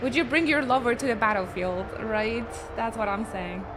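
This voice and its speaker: A woman's voice: a little high, moderate pace. A young woman from Poland with a Polish accent chats to her viewers while playing through a video game, musing aloud about the characters and story. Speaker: streamer. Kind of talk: live-stream gameplay commentary. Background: game music.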